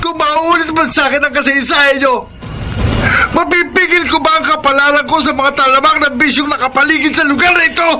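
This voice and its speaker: A man's voice: very high-pitched, steady tone, a little fast, pushed and bright, full character voice. An actor playing a desperate teenage son who pleads with and defends himself against his father in a radio drama. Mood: pleading. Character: desperate teenage son caught dealing drugs. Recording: drama with music score. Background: music.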